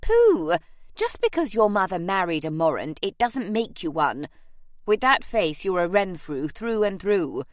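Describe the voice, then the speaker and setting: A woman's voice: medium pitch, big pitch swings, a little slow, no room sound, studio quality. A woman voicing an imperious, airy older aristocratic English aunt in an audiobook, emphatic and grandly dismissive. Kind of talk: audiobook character acting.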